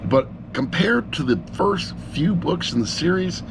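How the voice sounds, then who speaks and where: Middle-aged man rambling over clear background noise. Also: fairly high pitch, animated, measured pace. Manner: rambling, blunt, self-deprecating. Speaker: amateur audiobook reviewer. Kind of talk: casual unscripted review vlog. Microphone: action camera sitting on a laptop.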